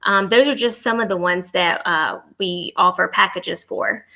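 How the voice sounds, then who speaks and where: A woman's voice: lowish voice, a little slow, vocal fry, bright, forceful tone. A woman speaking as a podcast guest and travel agent, giving agreeable, informal answers with a promotional bent.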